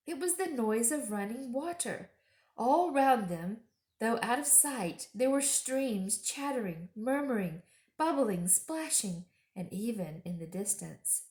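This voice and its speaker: A woman reading a children's story aloud expressively, with deliberate phrasing and dramatic emphasis. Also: medium pitch, darkish tone, echoey room. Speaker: storytime reader.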